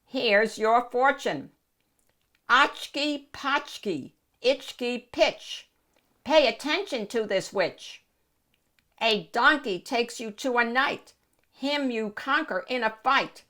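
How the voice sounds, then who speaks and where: A woman's voice: a little high, animated, slow pace, bright, forceful tone, voice pushed up, full character voice. A woman voicing a gloating witch in a children's storybook, crooning and crowing her chants in a theatrical sing-song. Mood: crowing, gleeful. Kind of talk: children's picture book read-aloud.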